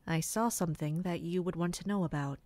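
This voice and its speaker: A woman's voice: lowish voice, fairly quick, warm tone, natural voice. A woman playing a principled royal guard captain in a fantasy audio drama, formal and dutiful.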